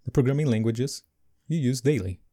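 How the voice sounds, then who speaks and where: Mellow-voiced man, non-native English speaker. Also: medium pitch, fairly quick. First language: not English. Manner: animated, laughs between points. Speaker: podcast co-host. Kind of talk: casual podcast chat.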